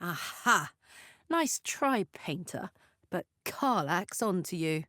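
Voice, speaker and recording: A woman's voice: fairly low pitch, big pitch swings, takes it slowly, bright, forceful tone, no echo, studio quality, heavily acted. A woman voicing a brash, big-hearted fiery tiefling warrior in a video game, blunt, slangy and swaggering. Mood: gleeful, taunting. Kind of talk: video game character voice lines.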